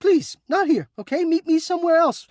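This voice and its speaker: Anxious romantic recluse, video game character, florid theatrical phrasing, animated pitch, close dry recording. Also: male voice, no room sound. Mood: weary, pleading. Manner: florid, halting, theatrical speech. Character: anxious, melodramatic, yearning man hiding in an attic.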